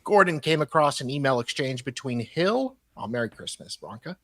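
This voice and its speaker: A man co-hosting a live show, reading documents aloud in a halting way with casual, joking asides. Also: medium pitch, big pitch swings, bright, forceful tone, dry recording. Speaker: co-host.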